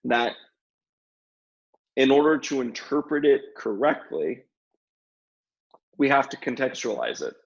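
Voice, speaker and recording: Gravelly-voiced man in casual discussion, dull audio. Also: mid-range voice, very animated, measured pace, long pauses. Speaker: podcast guest.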